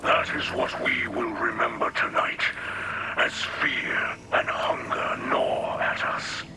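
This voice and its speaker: Very slow, whispery alien leader played by a man. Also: mid-range voice, completely flat delivery, very noisy, natural voice. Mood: grave, resolute. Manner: grave, halting, measured. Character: solemn, weary alien leader, gentle and resolute. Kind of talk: dramatic game cutscene monologue. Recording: loud music under the voice.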